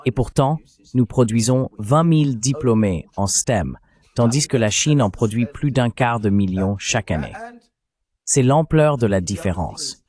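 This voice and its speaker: A man's voice: mid-range voice, expressive, unhurried, a little mellow. A man's AI-generated voice reading a dubbed commentary in an emphatic, argumentative way.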